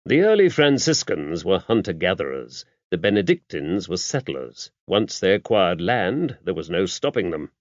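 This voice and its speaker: A mild, patient clergyman played by a male audiobook reader explains things in a measured way. His voice sits fairly low. The sound is very dry and slightly muffled. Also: sing-song, no echo. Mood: explaining. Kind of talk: audiobook character dialogue.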